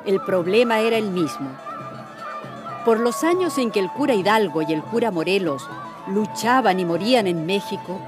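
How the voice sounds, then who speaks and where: A woman giving scripted, dramatic narration as the narrator of a historical radio drama. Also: fairly high pitch. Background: music.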